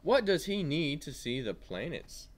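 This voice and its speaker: A man teaching kindergarteners with an animated read-aloud, asking simple questions as he goes. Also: fairly high pitch, a little slow. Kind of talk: read-aloud lesson for young children.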